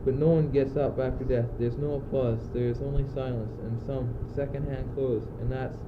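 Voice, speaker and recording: A man's voice: mid-range voice, steady tone, moderate pace, lightly acted. A teenage male student acting an anguished, philosophical courtier facing death in an amateur radio play, speaking in earnest declamation. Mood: grave, intense. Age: teens. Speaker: student actor. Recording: muffled, noisy old amateur recording.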